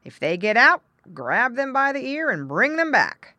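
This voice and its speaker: A woman's voice: mid-range voice, sing-song, unhurried, a bit bright, no room sound, pitched above the performer's own voice. A woman voicing a practical, plain-spoken male pig farmer in a children's storybook, folksy and emphatic. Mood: commanding.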